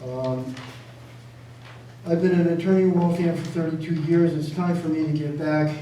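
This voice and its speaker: A man's voice: fairly high pitch, fairly even intonation, moderate pace, dark tone. An older man from Massachusetts giving an informal, hesitant campaign introduction with wry humor. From Massachusetts, United States. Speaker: city council candidate, attorney. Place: large indoor public forum room. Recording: echoey hall, distant mic, background noise.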